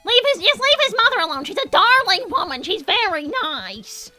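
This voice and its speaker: Young female cartoon hedgehog: sweet but indignant, chatty, very high girlish register, lively swings. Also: normal speed, faint music behind, exaggerated voice. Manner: animated, indignant, dramatic. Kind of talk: improvised in-character livestream banter, reading viewer messages.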